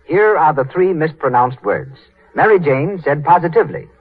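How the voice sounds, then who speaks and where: A man's voice: on the high side, animated, normal speed. A man with an American accent announcing in 1930s radio style. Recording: tinny, band-limited 1930s radio broadcast.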